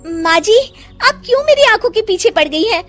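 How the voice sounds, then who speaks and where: A woman voicing a lazy, scheming young daughter-in-law who whines and pleads in a high voice for a cartoon. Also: expressive, normal speed, fairly bright tone, faint music behind. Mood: defensive. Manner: whiny, high-pitched pleading. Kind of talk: Hindi cartoon voice acting.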